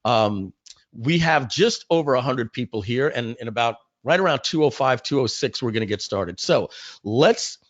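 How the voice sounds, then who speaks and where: A man with a slightly hoarse voice, chatting informally and directly to his audience as he hosts a live online webinar. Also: mid-range voice, lively intonation, moderate pace, dry recording. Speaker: company founder hosting a webinar. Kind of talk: casual live webinar chat. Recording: muffled, close dry webinar audio.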